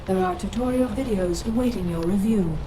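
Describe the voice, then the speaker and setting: A woman voicing a calm, formal computer adjutant that gives emotionless briefings in a military video game. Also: mid-range voice, brisk, very noisy, lightly acted. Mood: impassive. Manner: formal, clipped, impassive. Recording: distant-sounding voice under loud music. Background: loud game music.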